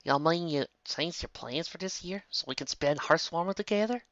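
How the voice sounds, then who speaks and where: A woman's voice: sits low, normal speed, fairly bright tone, dry recording, voice pushed up. A woman voicing an earnest farm pony mare, in a dull lo-fi home recording. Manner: earnest, emotional, folksy.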